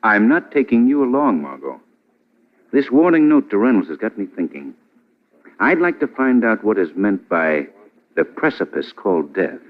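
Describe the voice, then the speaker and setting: An actor playing an urbane, confident gentleman sleuth with polished banter in 1940s radio drama style. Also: lowish voice, sing-song, moderate pace. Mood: resolute. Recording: muffled, tinny old radio recording.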